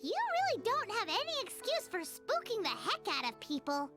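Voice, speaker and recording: A woman's voice: huge pitch swings, moderate pace, exaggerated voice. A woman voicing a tiny, chatty, blunt floating girl companion in a game. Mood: exasperated. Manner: squeaky, exasperated and blunt. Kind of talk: game voice acting. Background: loud game music.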